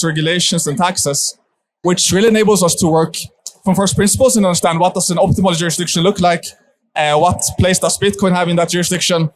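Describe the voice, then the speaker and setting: Man, a bit high, smooth explanatory panel intro. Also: a little hiss or hum. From Sweden. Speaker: panelist, head of growth. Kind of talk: unscripted panel talk. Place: conference stage.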